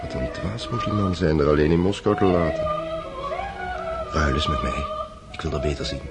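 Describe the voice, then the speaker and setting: Young male rake: very deep, bored, insolent, radio drama under loud opera music. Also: huge pitch swings, normal speed, full character voice. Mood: smitten, insolent. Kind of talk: Dutch radio drama acting.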